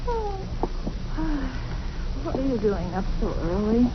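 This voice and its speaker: An actress playing an anxious young wife in 1940s radio drama style, breathless and emotional. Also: female voice, mid-range voice, wildly swooping pitch, slow. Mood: tender, worried. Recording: dull old radio broadcast with faint hiss.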